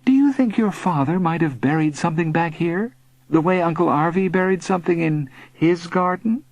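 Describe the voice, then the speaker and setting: A mild, concerned mother, put on by a male narrator, lifted in pitch. She asks things gently and tentatively, as in a children's audiobook. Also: normal speed, no room sound, close to an everyday voice. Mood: hesitant.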